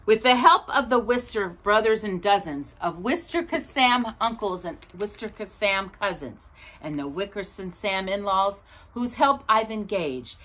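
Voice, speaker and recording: A woman's voice: mid-range voice, sharp tone, heavily acted. A woman voicing a bossy, scornful big kangaroo from a storybook, haughty and scolding. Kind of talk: storybook character voice.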